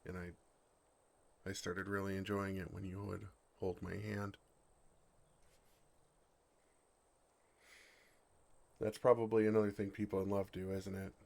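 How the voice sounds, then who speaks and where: Man playing a bashful, low-voiced lovestruck dragon. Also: level tone, moderate pace, gravelly. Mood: tender realization. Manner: hesitant, tender, softly awkward. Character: bashful, earnest male dragon shyly in love. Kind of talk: ASMR romantic fantasy roleplay.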